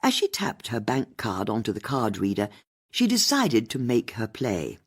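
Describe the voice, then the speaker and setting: A woman's voice: extremely low pitch, huge pitch swings, moderate pace, husky. A woman with an English accent reading scripted fiction as an audiobook narrator.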